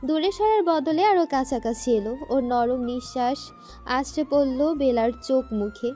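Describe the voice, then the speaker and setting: A woman's voice: high voice, measured pace, mellow, soft background music. A woman narrating a scripted romantic story.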